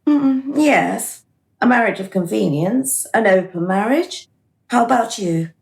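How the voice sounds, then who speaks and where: An actress playing a composed, wry reincarnation official in a radio play. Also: female voice, a little high, lively intonation, unhurried, creaky. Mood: wry, flirtatious. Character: brisk, composed female reincarnation official, playfully flirtatious. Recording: roomy, echoing radio play recording.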